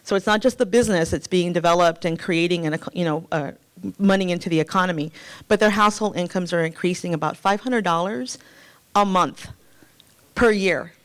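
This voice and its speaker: A woman giving a stage talk in a hotel ballroom, holding a handheld mic. Her voice is lowish, smooth and clear. She fills pauses with frequent fillers and restarts. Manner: filler-heavy, restarting often. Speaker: chief program officer of a nonprofit. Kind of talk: stage presentation. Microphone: handheld microphone.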